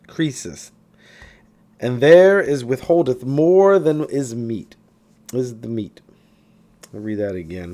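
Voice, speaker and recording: Man reading aloud with lively, swinging intonation. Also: mid-range voice. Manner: expressive, with stumbles and re-reads. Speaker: audiobook reader. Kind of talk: reading aloud a self-help book.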